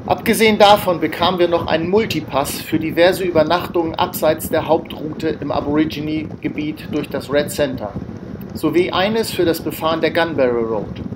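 A man's voice: a little high, normal speed. A man narrating his outback road trip as a voice-over, matter-of-fact with wry asides. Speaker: travel vlogger narrating. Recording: faint background noise.

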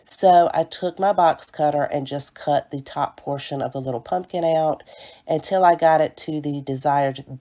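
A woman with a stuffy nose from a cold narrates a friendly step-by-step craft tutorial. Her voice sits low and mellow, and she keeps calling things cute and little in a chatty, apologetic way. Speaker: DIY craft channel host.

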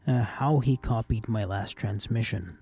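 A man giving a casual, measured instructional voice-over as an amateur radio operator hosting a tutorial. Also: on the low side, dark tone, husky.

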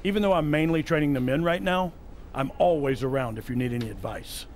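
A man's voice: a little high, fairly quick, a little hiss or hum, lightly acted. An actor voicing a folksy older male wrestling trainer who offers homespun advice in a video game. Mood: friendly.

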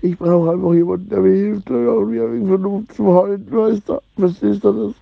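Rambling man with gravelly, husky voice. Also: sits high, steady tone, a little fast, dry recording. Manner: rambling, repetitive, slangy. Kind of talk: rambling informal monologue. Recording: dull lo-fi recording.

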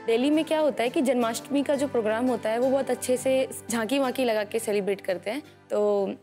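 A woman's voice: on the high side, fast, mellow, faint music behind. Cheerful young woman from Delhi. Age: young adult. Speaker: contestant, teacher. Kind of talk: casual chat. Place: indoor TV studio set.

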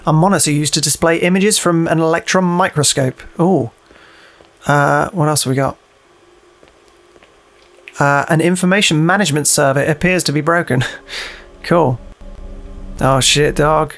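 A man's voice: a little high, a little slow, pauses often, fairly bright tone. A man playing a video game, commenting casually and reading notes aloud, often hesitating and repeating himself. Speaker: let's play host and player.

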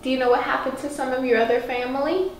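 A woman interviewer off camera, asking gentle questions about the war. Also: high voice, steady tone, fast, heavy reverb, slight background noise.

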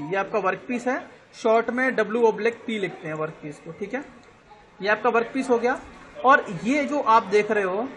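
A male teacher with an Indian accent giving an online lecture, explaining slowly, spelling out words letter by letter and often checking that students follow. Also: high-pitched, pushed and bright, low-quality recording.

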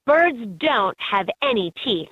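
A woman's voice: a little high, sing-song, slow, fairly bright tone, no echo, full character voice. A woman voicing an upbeat guide in a children's educational animal game, in scripted narration with slightly dull game audio.

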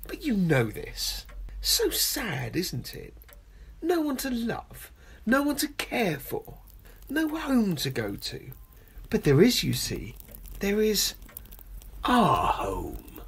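A woman playing a suave, gloating male professor and secret spy in a radio play, pompous mock courtesy and a husky, breathy voice. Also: mid-range voice, wildly swooping pitch, slow pace, pauses often, a little hiss or hum, heavily acted. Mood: mocking, grandiose. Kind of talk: homemade radio play acting.

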